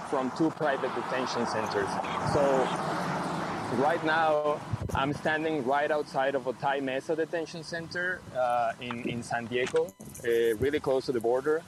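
A man's voice: mid-range voice, noisy background. A man from Mexico hosting a live radio broadcast from outdoors, speaking thoughtfully with frequent restarts. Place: outdoors near a detention center, street traffic.

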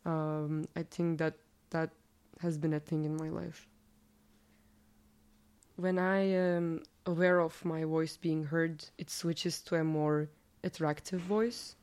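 A young woman from Turkey, a native Turkish speaker, talking casually in an interview with a slightly nasal, deep voice and lots of "like". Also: slow, clear, resonant tone. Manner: relaxed, filler-heavy, says "like" a lot.

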